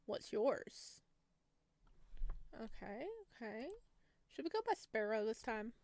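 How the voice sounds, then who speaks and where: A woman reading the text of a horror visual novel aloud at an even pace, stopping for chatty asides and giggles. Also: a little high, slow pace. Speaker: game streamer.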